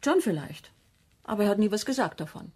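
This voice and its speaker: Sour curt sister in a crime radio play, husky medium voice, guarded. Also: female voice, medium pitch, very animated, brisk, a little mellow, heavily acted. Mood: sour, composed. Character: big, curt, sour, composed bereaved sister. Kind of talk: German radio crime drama acting.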